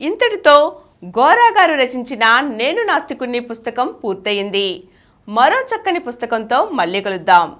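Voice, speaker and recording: A woman reading an essay from a script in a measured, didactic manner. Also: on the high side, big pitch swings, a bit bright. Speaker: audiobook reader.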